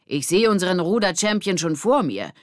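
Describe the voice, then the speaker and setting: A woman's voice: on the low side, sing-song, a little fast, no echo, voice pushed up. A swaggering, teasing schoolboy from a children's audiobook, acted out by a woman. He speaks standard German with a mocking edge. The recording is dry and close. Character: cheeky, boastful schoolboy gang members, teasing.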